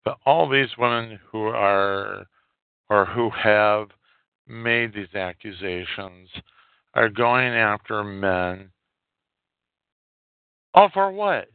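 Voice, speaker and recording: A man hosting a solo podcast, giving unscripted political commentary in a halting way and repeating phrases for emphasis. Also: fairly low pitch, animated, clean tone, dry recording. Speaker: podcast host. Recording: muffled, tinny phone-like sound.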